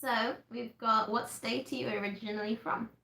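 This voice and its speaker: A woman's voice: fairly high pitch, steady tone, normal speed, vocal fry, bright, forceful tone. A young woman with a Yorkshire accent, bubbly and laughing often as she co-hosts a livestream. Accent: Northern English, Yorkshire. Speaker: livestream co-host. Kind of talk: casual livestream chat. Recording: echoey room.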